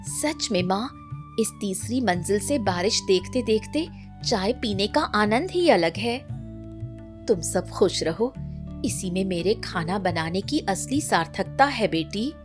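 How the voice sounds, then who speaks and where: Woman playing slow, animated, caring village homemaker. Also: medium pitch, music in the background. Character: gentle, admiring family women, caring and cheerful. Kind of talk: cartoon story dialogue.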